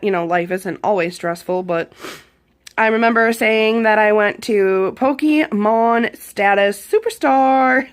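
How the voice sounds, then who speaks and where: A hoarse woman, around her thirties, chatting casually with a lot of ums while showing her journal pages. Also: fairly high pitch, fairly bright tone. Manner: rambling, chatty, with frequent ums. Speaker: YouTube vlogger. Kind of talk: casual journal flip-through.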